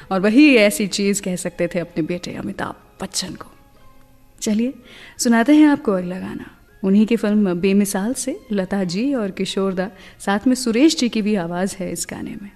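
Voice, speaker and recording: A woman's voice: mid-range voice, a little mellow, in a large hall. A woman hosting a radio show, telling stories in a chatty narration that slips English words in.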